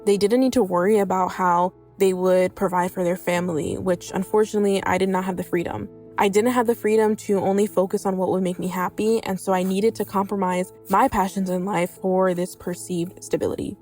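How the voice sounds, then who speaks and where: A woman's voice: medium pitch, fairly even intonation, brisk, few pauses, darkish tone, faint music behind. A young woman from New York, a content writer, reading an earnest personal reflection. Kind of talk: personal reflection read aloud.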